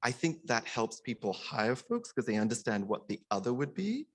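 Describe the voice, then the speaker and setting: A man's voice: medium pitch, sing-song, normal speed. A middle-aged man, a surgeon, giving a personal, informal conference speech in an earnest manner, sometimes stammering and repeating words.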